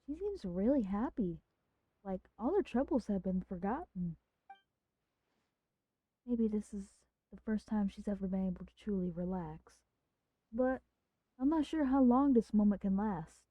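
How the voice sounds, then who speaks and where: A woman's voice: mid-range voice, lively intonation, unhurried, long pauses, mellow, dry recording. A woman streamer giving thoughtful, empathetic narrated commentary on a game's story. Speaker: live streamer.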